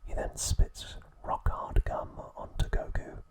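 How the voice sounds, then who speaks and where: Whispering man narrating ASMR. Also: a little slow. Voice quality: breathy, unvoiced whisper. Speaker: ASMR video host.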